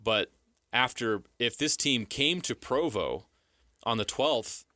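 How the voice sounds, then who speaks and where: Casting: American male sports talk analyst, forceful bright voice, emphatic and casual, close dry studio sound. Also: mid-range voice, lively intonation, takes it slowly, no room sound. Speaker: sports radio co-host.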